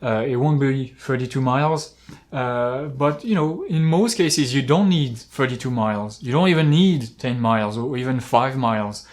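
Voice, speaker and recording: A man talking casually as an outdoor climbing vlogger, explaining gear with examples in a halting, rambling way. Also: mid-range voice. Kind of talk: casual product-talk vlog, explaining with examples.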